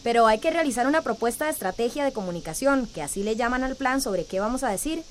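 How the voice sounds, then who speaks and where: A woman's voice: on the high side, animated, quick pace, a little hiss or hum, close to an everyday voice. A woman playing an orderly, encouraging community leader in a radio drama, with a Central American Spanish accent and a courteous manner. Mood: reassuring. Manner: organised, courteous, reassuring. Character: encouraging, orderly woman leading a village meeting.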